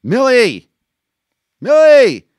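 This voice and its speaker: A man voicing an earnest, polite eight-year-old boy who cries easily, in a high childlike voice. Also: wildly swooping pitch, a little fast, gravelly, dry recording, clean studio sound, heavily acted. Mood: screaming.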